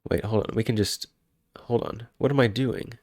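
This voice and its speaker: A man's voice: on the low side, normal speed, darkish tone, slightly rough, no room sound, clean studio sound. A man with a head cold, sounding congested, chatting casually and rambling on a live stream. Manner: rambling, self-interrupting, chuckling.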